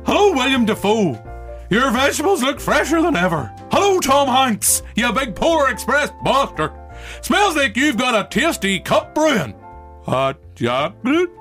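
A man playing an excitable, sentimental, exclamatory film director in a comic radio drama. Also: very high voice, moderate pace, faint music behind, full character voice. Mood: elated. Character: excitable, sentimental male film director, blue then joyful.

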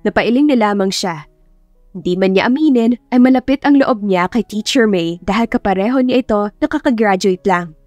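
A woman's voice: mid-range voice, darkish tone, no room sound. A woman reading scripted story narration as an audiobook narrator. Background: music.

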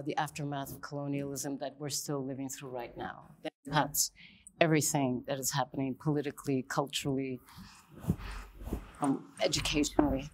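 Middle-aged woman, deep voice, sincere guest speaker, studio panel. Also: slight background noise. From Colombia, raised in the United States. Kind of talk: panel conversation.

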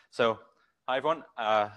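A man's voice: medium pitch, a bit bright. A man from England with a Geordie accent, giving a casual developer talk to a meetup audience, full of ums and restarts. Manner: rambling, many ums and restarts. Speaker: conference speaker, developer. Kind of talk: casual tech talk presentation.

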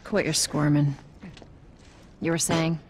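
A woman's voice: low-pitched, level tone, slow pace, slight background noise. An actress playing a tough American smuggler in her thirties in a video game, blunt, dry and menacing. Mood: cold menace. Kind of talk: dramatic video game acting.

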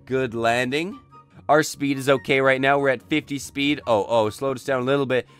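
A young man who is a bit under the weather, giving excitable, chatty, casual game commentary and repeating short exclamations. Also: medium pitch, moderate pace, clean tone, soft background music. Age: young adult. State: sick, says he is losing his voice. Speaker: gaming video host. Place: indoor desk setup.

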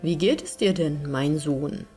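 A woman voicing the Devil as a lordly gentleman godfather in a fairy tale, coaxing and commanding. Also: low voice, animated, a little slow, faint music behind. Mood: probing. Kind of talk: fairy-tale audiobook character reading.

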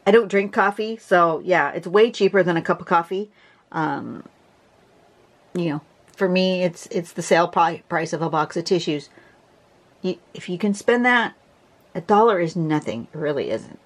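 A woman with a congested voice teaching a drawing pattern in a casual, chatty way, often restarting and clearing her throat. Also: lowish voice, normal speed. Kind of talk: casual tutorial narration. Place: home desk, camera over the drawing surface.

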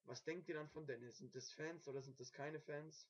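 A young man, hoarse from a cold, rants in a flat, monotone way full of fillers. He talks into a cheap webcam mic in a small room at home, and the sound is dull and lo-fi. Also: a little high, fairly quick. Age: young adult. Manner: rambling, annoyed rant with many fillers. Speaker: beat producer. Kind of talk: casual webcam vlog. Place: small dim room indoors. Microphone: webcam microphone, quiet at first.

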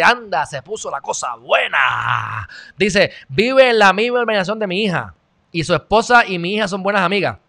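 A man in his thirties with a Puerto Rican accent, hosting a live stream and reading viewer comments close to a studio mic, animated and shifting between loud and quiet. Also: sits high, gravelly. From Puerto Rico. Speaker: host. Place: small indoor studio. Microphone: studio mic on stand, close.

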